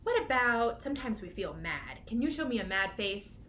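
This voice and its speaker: A woman with a fairly high voice speaks as a children's storytime host. She gives simple, encouraging prompts addressed to young listeners. The recording carries noticeable background noise. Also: sing-song, moderate pace, fairly bright tone. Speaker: children's librarian hosting storytime. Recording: clearly noisy room recording.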